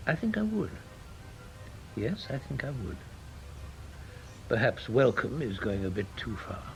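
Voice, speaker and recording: Creaky, guarded elderly English official. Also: male voice, mid-range voice, very animated, faint music behind, rough recording. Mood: wistful, measured. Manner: formal, measured, guarded. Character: elderly reserved British ex-intelligence official, dryly evasive. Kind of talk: radio drama acting.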